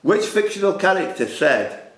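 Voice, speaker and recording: A man's voice: high voice, sing-song, normal speed, a bit bright. A man hosting a live pub quiz, reading out questions and teasing the contestants. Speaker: quiz master. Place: indoor club room. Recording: echoey room, clear background noise.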